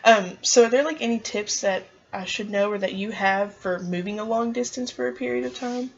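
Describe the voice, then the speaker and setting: Husky-voiced young woman, muffled audio. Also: mid-range voice, a little fast. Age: young adult. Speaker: job applicant. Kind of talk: casual phone interview answers.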